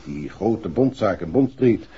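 A husky male voice plays a polite police inspector in an old radio play. He explains in a weary, matter-of-fact way, and the recording is muffled. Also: on the low side, lively intonation, measured pace, heavily acted. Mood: weary, explanatory. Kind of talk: 1960s radio drama acting.

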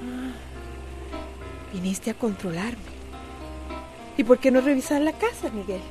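A woman's voice: fairly high pitch, expressive, takes it slowly, dark tone, soft background music. An actress with a Costa Rican accent playing an anxious but defiant wife and mother in a radio soap opera. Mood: upset, pleading.

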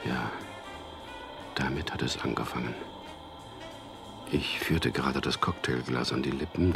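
Tormented drugged prisoner, rock-bottom pitch, vocal fry, hushed, bitter, inward radio-play narration. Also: male voice. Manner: breathy, half-whispered inner monologue, brooding. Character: drugged, tormented male prisoner recalling his past, bitter. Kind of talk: radio drama first-person narration.

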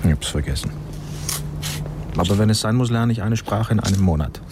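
A man's voice: lowish voice, fairly quick, noisy background, natural voice. A man acting in a radio play as a pompous, eccentric linguist, boastful and mocking, with a grandiose air. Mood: playful boasting. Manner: pompous, grandiose, mock-indignant.

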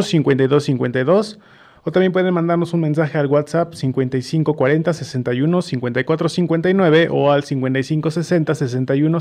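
A male radio host with a Mexican accent and a fairly high-set voice. His tone is soft-edged and mellow as he presents a live community radio show.

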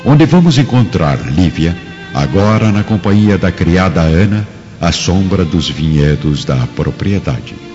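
A Brazilian man delivers formal, solemn story narration. His voice is very low and carries a long reverb. Music plays clearly behind him. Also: animated, unhurried, muffled recording. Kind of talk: dramatic scripted narration. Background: background music.

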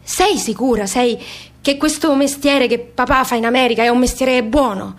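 An actress playing an anxious, defiant young daughter in a radio play, with a southern Italian accent and colloquial, halting, repetitive phrasing. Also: female voice, a little high, lightly acted. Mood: worried, pleading. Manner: colloquial, hesitant, repeating phrases emphatically. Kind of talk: stage-like radio theatre dialogue.

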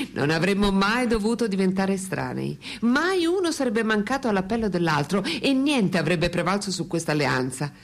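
An actress in a radio play voicing a thoughtful, candid French woman intellectual with an articulate, reflective way of speaking. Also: mid-range voice. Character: female French intellectual, philosopher's lifelong companion, thoughtful, candid. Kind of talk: radio play dialogue and monologue.

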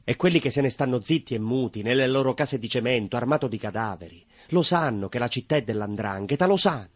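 An actor playing a bitter, obsessive southern Italian baker in a theatrical monologue, husky and emphatic, repeating himself and dropping low. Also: male voice, lively intonation, fairly quick, dry recording, close to an everyday voice. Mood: bitter, defiant. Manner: emphatic repetitions, dropping to near whispers.

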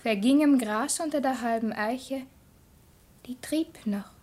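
Enigmatic child for literary radio play, female actor. High register, lyrical, cryptic, terse. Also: a little slow, dark tone, natural voice. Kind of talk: literary radio drama acting.

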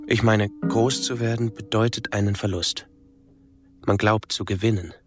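An actor playing a withdrawn, melancholy young man who narrates a radio drama in measured, halting short phrases. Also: on the low side, fairly even intonation, slightly rough, faint music behind. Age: young adult. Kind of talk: literary radio drama monologue.

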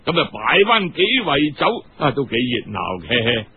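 A man with a Cantonese accent telling a story in an expressive, colloquial, conversational way with drawn-out phrasing. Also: medium pitch, slight background noise. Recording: tinny, narrow-band radio sound.

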